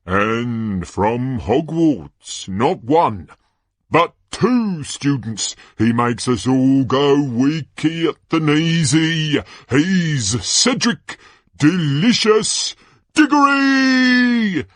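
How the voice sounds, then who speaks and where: A flamboyant male tournament announcer from an audio storybook. The voice soars high and swoops widely, stretching big dramatic phrases into booming, gushing showmanship. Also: expressive, very slow, fairly bright tone, no echo, studio quality, heavily acted. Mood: gushing, excited. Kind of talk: stage-play sports commentary read aloud.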